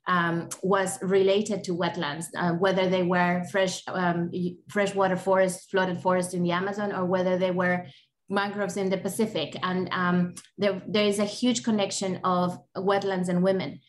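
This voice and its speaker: A woman's voice: lowish voice, level tone, normal speed. A woman in her forties from Ecuador, speaking on an online panel over a video call, earnest and full of fillers and restarts. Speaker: panelist from a bird conservation group. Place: home or office on a video call, indoors. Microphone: laptop webcam mic on a video call.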